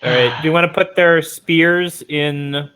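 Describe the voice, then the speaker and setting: A man's voice: a little high, slow, pushed and bright, smooth. A man talking casually and playfully as a player in a tabletop role-playing game.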